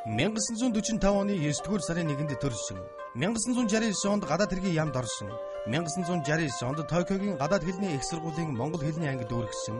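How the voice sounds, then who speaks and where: A male announcer reading a scripted biography in a steady list of dates. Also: a little high. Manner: reads a list of dates quickly. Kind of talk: scripted narration. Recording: loud music underneath.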